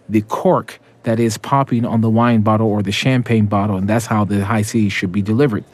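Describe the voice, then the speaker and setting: A man's voice: mid-range voice, darkish tone. A man, an opera tenor, giving reflective interview answers with small restarts.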